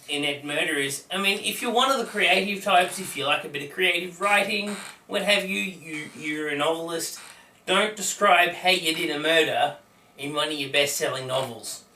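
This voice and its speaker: A man's voice: a little high, moderate pace, pushed and bright, a little hiss or hum. A man co-hosting a casual comedic chat, rambling and self-deprecating and tripping over his words. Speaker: co-host. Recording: echoey room, heard from a distance.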